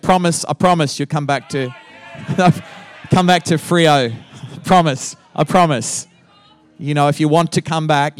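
Joking Australian pastor with laughter. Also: male voice, on the high side. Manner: playful, teasing, laughs often. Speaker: guest pastor. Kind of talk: joking sermon opening.

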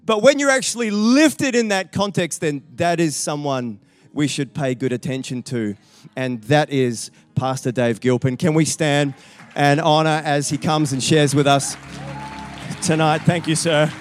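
A man's voice: on the high side, measured pace, soft background music, some chatter behind. A man introducing the guest pastor to a church, building his point in emphatic, repeating steps. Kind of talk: sermon introduction.

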